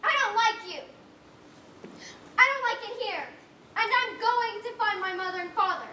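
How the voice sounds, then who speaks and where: A woman's voice: extremely high pitch, normal speed, bright, forceful tone. A young woman playing a stubborn, grieving little foreign orphan girl on stage, earnest and defiant, with formal phrasing. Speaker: student actor in a one-act play. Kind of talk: projected stage acting. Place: indoor stage, recorded live. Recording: echoey stage, faint background noise.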